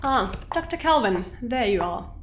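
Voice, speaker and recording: A woman's voice: on the high side, big pitch swings, normal speed, background noise. A woman playing a stern, businesslike scientist in an audio drama, speaking bluntly with a clipped, reproachful manner. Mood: brisk, businesslike.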